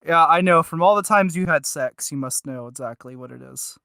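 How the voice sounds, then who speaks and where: Male podcast co-host, slightly high and forceful, casual and self-mocking. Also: fairly quick, creaky, no room sound, clean studio sound.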